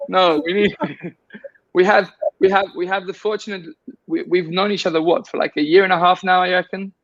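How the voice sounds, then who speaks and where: A man's voice: sits high, fairly even intonation, normal speed, a bit bright, no room sound, muffled recording. A man guest in a casual conversation, rambling with many restarts and fillers.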